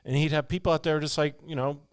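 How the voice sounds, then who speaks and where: A man's voice: a little high, fairly even intonation, fast talker, clear, resonant tone, dry recording, studio quality. A man co-hosting a show, chatting casually with off-the-cuff commentary. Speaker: podcast co-host.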